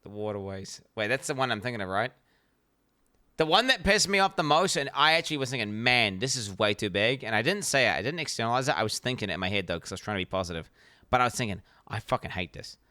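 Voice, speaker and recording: Blunt New Zealand man, bright crisp voice. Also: medium pitch, expressive, quick pace, dry recording. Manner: blunt, profane, rambling with many restarts. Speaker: streamer. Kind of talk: casual reaction stream commentary.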